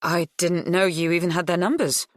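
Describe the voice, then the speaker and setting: A woman's voice: lowish voice, fairly even intonation, normal speed, no room sound, studio quality, lightly acted. A woman voicing a nervous, defensive bride-to-be in first-person audiobook dialogue. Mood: surprised. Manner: tense, exasperated.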